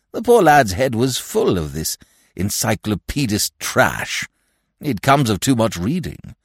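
A man reading aloud and voicing a testy, blustering elderly nobleman who grows shrill when crossed. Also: breathy edge, no echo, clean studio sound, heavily acted. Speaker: provincial lord and godfather.

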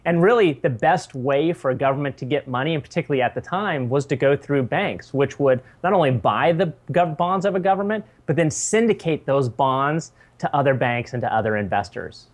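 A man's voice: fairly high pitch, lively intonation, brisk, hardly any pauses. Easygoing man explaining on a phone call. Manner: casual and explanatory, adds tag questions. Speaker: podcast co-host. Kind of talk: casual podcast chat.

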